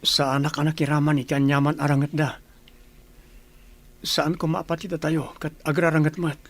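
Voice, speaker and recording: An actor playing an earnest, pleading young village suitor in a radio drama, with an Ilocano accent. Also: male voice, fairly high pitch, level tone, moderate pace. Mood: pleading, hopeful.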